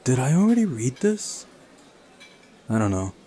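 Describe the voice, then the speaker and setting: A man's voice: medium pitch, big pitch swings, unhurried, dark tone. A man hosting a visual novel playthrough, reading narration aloud and adding wry, casual asides. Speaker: video game playthrough host. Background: faint music.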